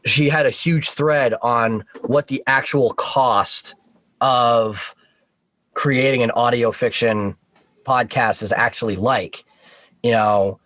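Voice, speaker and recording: A man's voice: medium pitch, slow pace, a bit bright, dry recording. Dry-sounding man in casual interview. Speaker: podcast guest, audio drama creator.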